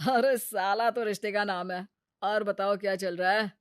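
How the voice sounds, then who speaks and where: A woman voicing an evasive, smooth-talking young husband who gambles, glib and defensive, in an animated moral story. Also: medium pitch, normal speed, no echo, clean studio sound, lightly acted. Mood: glib, coaxing. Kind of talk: animated story dialogue, Hindi moral tale.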